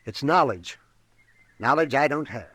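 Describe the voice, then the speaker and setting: An actor playing a weary, self-blaming frontier doctor with an American accent in an old radio drama. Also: male voice, mid-range voice, lively intonation, a little slow, a bit bright, no echo. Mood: bitter, defeated.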